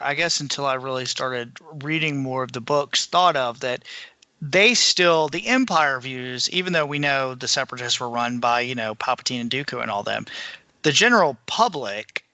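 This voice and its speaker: A man's voice: mid-range voice, pushed and bright, dry recording. A man co-hosting a book podcast, chatting casually about what he has read. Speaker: podcast co-host discussing books.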